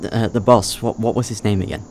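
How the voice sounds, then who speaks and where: Young male, actor as anxious student job applicant, audio drama: lowish pitch, halting, awkward, noisy ambience. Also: fairly even intonation, darkish tone, natural voice. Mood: shaken, anxious. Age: young adult. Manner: hesitant, stammering, awkward.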